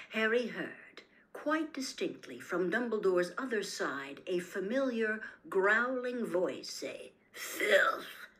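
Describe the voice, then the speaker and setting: A woman's voice: mid-range voice, fairly bright tone. A woman reading a story aloud as scripted audiobook-style narration.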